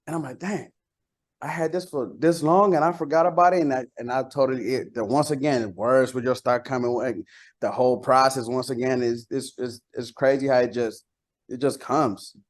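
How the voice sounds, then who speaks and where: A man's voice: medium pitch, fairly even intonation, normal speed. Slangy man chatting about himself casually. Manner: slangy, repeats phrases as he tells anecdotes. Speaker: rapper being interviewed. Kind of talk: casual interview talk.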